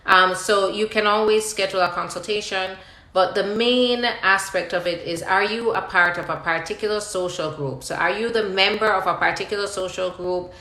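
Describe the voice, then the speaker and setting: A woman's voice: medium pitch, normal speed, pushed and bright. A woman attorney hosting a live question-and-answer session, reading out viewer questions and explaining the answers step by step in a conversational way. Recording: roomy, echoing live-stream sound.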